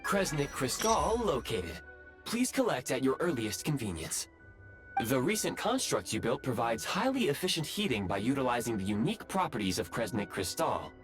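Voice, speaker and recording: A man voicing a composed, courteous machine-like guide in a video game, speaking in formal, measured announcements. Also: medium pitch, big pitch swings, lightly acted. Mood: precise, instructive. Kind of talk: scripted video game voice acting. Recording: game music under the voice.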